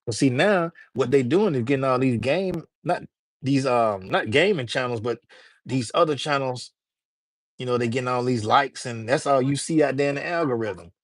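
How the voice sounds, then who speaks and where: A man's voice: medium pitch, normal speed, dry recording. A man from Alabama, hosting a livestream and chatting casually with his viewers in slangy talk. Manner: loose, slangy, talks straight to chat. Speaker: livestream host.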